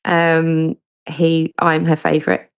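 A woman's voice: low-pitched, completely flat delivery, takes it slowly, smooth. A woman from southern England with a southern English accent, a guest author answering interview questions in a chatty way with many hesitations.

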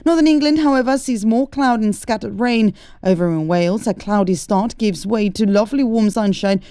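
A woman reading a radio news bulletin in a steady, clear manner. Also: medium pitch, no room sound, studio quality. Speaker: newsreader. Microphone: studio mic.

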